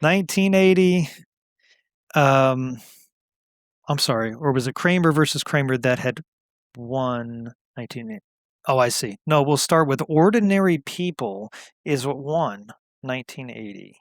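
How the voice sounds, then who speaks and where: A man's voice: mid-range voice, measured pace, no echo, studio quality. A man co-hosting a casual movie chat, easygoing as he runs through years and lists of films. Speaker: co-host.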